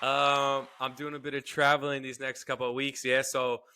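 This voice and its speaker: A man's voice: mid-range voice, little pitch movement, moderate pace, bright, forceful tone, a little hiss or hum. A young adult man co-hosting a casual podcast chat, laid-back, laughing often and repeating his phrases. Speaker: podcast co-host. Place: home setup, remote recording.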